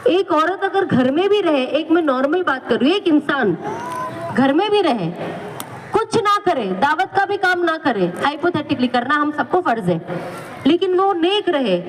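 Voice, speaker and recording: A woman's voice: sits high, lively intonation, moderate pace, in a large hall, a little hiss or hum, low-quality recording. A woman giving an earnest Islamic talk. She repeats phrases for emphasis. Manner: earnest, repeats phrases, acts out quoted people. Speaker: religious speaker.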